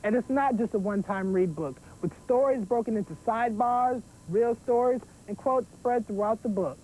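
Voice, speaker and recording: A woman's voice: a little high, moderate pace, warm tone, poor audio. A woman giving a scripted book review as a presenter on a youth TV show. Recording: faint background noise.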